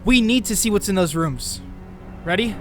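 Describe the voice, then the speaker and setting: Anxious, driven teen boy narrator for a comic dub acted, intense and very animated, with music underneath. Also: medium pitch, normal speed, natural voice. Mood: tense. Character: Greg, anxious, driven teenage boy narrating his own story. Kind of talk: dramatic first-person narration in a comic dub.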